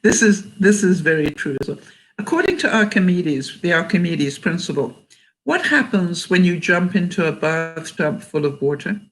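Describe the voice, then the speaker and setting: An older woman with a Canadian accent and a hoarse, fading voice reads jokes aloud in a casual vlog and laughs often at them. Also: fairly low pitch, lively intonation, normal speed, poor audio. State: hoarse, losing her voice. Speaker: vlogger.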